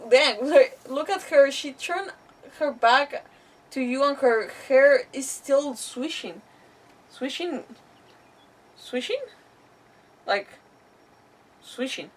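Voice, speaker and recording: Slightly high-voiced woman streaming a game. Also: animated, slow, pauses often, distant mic, poor audio. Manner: exclamatory, mild swearing, thinking aloud. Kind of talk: casual live gaming commentary.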